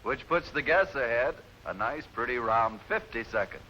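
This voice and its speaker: A man's voice: mid-range voice, lively intonation, normal speed, pushed and bright, slight background noise. A man announcing scores as a game show timekeeper, on a muffled 1950s broadcast.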